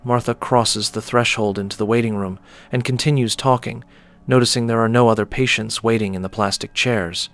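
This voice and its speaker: A man narrating a scripted audio story in a measured, descriptive manner. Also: medium pitch, fairly even intonation, a bit bright, soft background music.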